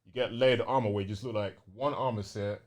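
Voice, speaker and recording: A man's voice: on the low side, fairly even intonation, brisk. A young man with a London accent chatting casually and enthusiastically on a gaming podcast, using plenty of slang.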